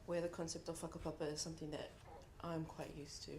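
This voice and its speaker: Earnest young New Zealand woman, lowish voice. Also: level tone, a little mellow, a little hiss or hum. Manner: reflective and earnest, with frequent pauses. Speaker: contributor speaking at a book launch. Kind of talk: heartfelt speech to an audience. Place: event room at a book launch.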